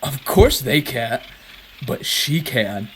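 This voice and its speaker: A man's voice: medium pitch, sing-song, takes it slowly, fairly bright tone, poor audio. An actor playing a florid, lovelorn French ladies' man in his fifties, with a French accent, in a radio play. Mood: wistful. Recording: faint background noise and ambience.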